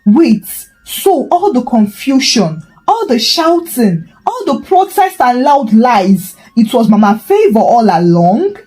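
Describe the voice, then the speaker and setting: A woman's voice: a little high, sing-song, soft background music, low-quality recording. A woman storyteller voicing a young landlady, polite and composed but firm, in a folktale. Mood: shocked. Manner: gentle, measured, firm.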